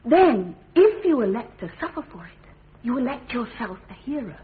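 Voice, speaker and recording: Earnest, high-voiced daughter. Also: female voice, sing-song, normal speed. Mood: earnest, pleading. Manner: earnest, articulate. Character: earnest, devoted, reasoning daughter of a prisoner. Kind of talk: radio drama acting. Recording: narrow radio recording, faint hum.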